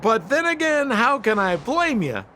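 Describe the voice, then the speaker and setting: A flamboyant game rogue with a high, swooping voice. He is glib and wheedling, a sly treasure hunter working his charm, with some noise behind him. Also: sing-song, unhurried, pushed and bright, heavily acted. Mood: wounded, theatrical. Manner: theatrical, ingratiating, glib. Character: flamboyant, sly, wheedling young male treasure-hunting con man. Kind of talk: video game voice acting.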